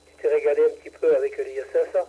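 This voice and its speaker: A man's voice: on the high side, flat delivery, fast talker, breathy edge, narrow, tinny audio. A man chairing an amateur radio net over the air, talking in a hesitant way with many fillers and restarts. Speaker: radio club president running a ham radio net. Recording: radio transmission.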